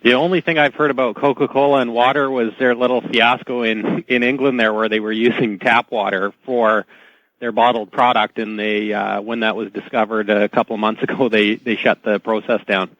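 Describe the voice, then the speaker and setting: A man's voice: mid-range voice, flat delivery, moderate pace, a bit bright, no room sound, highs cut off. A man explaining things informally as an expert guest on a radio show.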